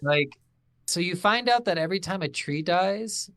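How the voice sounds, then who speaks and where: Higher-voiced man ranting casually, very dry sound. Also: lively intonation, normal speed, fairly bright tone, no echo. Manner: incredulous ranting, heavy swearing, acts out quoted lines. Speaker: video game podcast co-host. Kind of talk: casual, profane game review chat.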